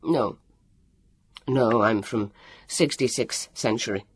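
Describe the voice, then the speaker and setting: A man's voice: medium pitch, slow, breathy edge, voice pushed down. A man voicing a tall, brawny young student, a frightened captive who pleads and babbles in an audiobook. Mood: frightened babbling. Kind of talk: audiobook character voice.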